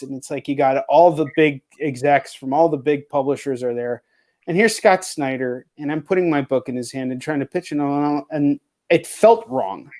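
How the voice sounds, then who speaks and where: A man with a fairly high voice chats casually as a talk show host. He sounds earnest and conversational, and he swears freely in an offhand way. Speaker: talk show host, comic book creator. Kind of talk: casual chat.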